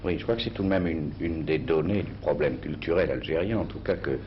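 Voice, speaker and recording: A man's voice: extremely low pitch, a little fast. A man interviewing a writer, asking formal questions and giving brief affirmations. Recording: dull archival interview recording with faint hiss.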